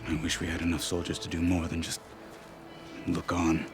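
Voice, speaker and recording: Man acting a very deep, grim warrior marshal. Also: monotone, music in the background, close to an everyday voice. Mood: grave, informative. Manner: terse, stern, weighty deliberate delivery. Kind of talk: dramatic video game cutscene acting.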